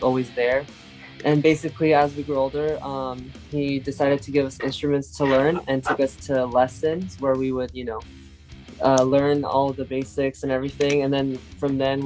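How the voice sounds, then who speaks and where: A man in his twenties, the band's guitarist, telling its story in a relaxed, rambling way full of "like" and "um". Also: mid-range voice, rough recording. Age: 20s. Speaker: lead guitarist in a family band.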